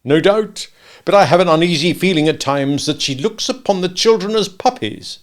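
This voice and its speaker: A man reading a storybook and voicing a pompous, melodramatic middle-aged father who rants loudly and sarcastically. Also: high voice, animated, moderate pace, voice pushed up. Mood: uneasy. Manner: loud, sarcastic ranting.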